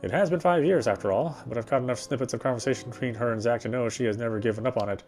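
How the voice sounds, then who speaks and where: A man reading the first-person narration of a horror visual novel in a measured, brooding way. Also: mid-range voice, a little mellow. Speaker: reader.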